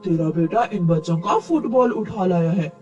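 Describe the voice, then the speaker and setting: A woman's voice: fairly low pitch, moderate pace, darkish tone, distant mic, muffled recording, soft background music, lightly acted. A woman voicing a stern, reproachful pigeon uncle in a cartoon story, grumbling out warnings.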